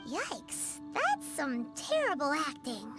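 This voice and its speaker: A woman voicing a tiny, blunt fairy companion in a video game, with a squeaky, childlike voice. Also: huge pitch swings, takes it slowly, exaggerated voice. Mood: sceptical. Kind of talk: video game character acting. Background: game music.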